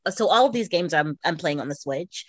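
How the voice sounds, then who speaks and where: A woman's voice: low voice, expressive, a little fast, no echo. A middle-aged woman co-hosting a podcast, chatting casually about her hobbies in an informal way. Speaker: podcast co-host. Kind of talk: casual chat about video games.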